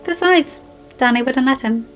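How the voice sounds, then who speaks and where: A stubborn young noblewoman with a sharp, defiant tongue, her voice pitched up and pushed forward, as a woman reading aloud puts her on. She argues fast and won't back down. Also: soft background music. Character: feisty young highborn woman, stubborn and rebellious.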